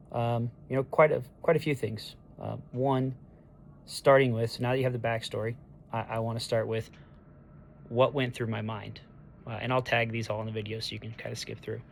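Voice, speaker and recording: Hoarse, gravelly young man, flat delivery. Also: medium pitch, a little hiss or hum. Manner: halting, with many ums and restarts. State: hoarse after neck surgery. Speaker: patient sharing a recovery diary. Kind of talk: casual video diary. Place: hospital room on discharge day.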